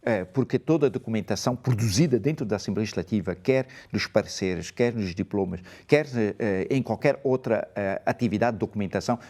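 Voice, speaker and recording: A man's voice: fairly low pitch, a little fast, hardly any pauses, darkish tone. A man, a native Portuguese speaker, arguing emphatically as a candidate in a televised political debate in a studio. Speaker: election candidate.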